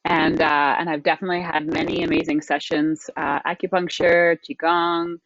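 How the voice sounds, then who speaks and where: Low-voiced friendly woman podcast host. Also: flat delivery, normal speed, a bit bright, no room sound, muffled recording. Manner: friendly and effusive. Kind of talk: casual podcast chat.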